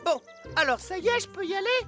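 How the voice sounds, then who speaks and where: A woman voicing a caring, anxious talking school bus in a children's cartoon, instructive, fussy and excitable. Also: very high-pitched, quick pace. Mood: cheerful. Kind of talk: animated children's cartoon dub. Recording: music behind the voice.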